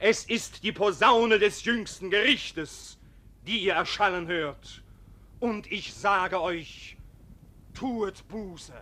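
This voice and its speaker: A man's voice: high voice, slow pace, sharp tone, full character voice. An actor playing a zealous street preacher in a radio play, declaiming solemnly and accusingly as he calls sinners to repent. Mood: solemn proclamation.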